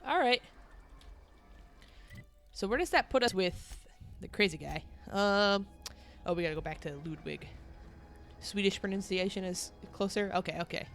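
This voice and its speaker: A woman's voice: mid-range voice, expressive, normal speed, pauses often, a bit bright, soft background music. A young woman streaming a video game, nasal from a cold, chatting in a rambling way with viewers. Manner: chatty, rambling, laughs often. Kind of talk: casual chat while playing.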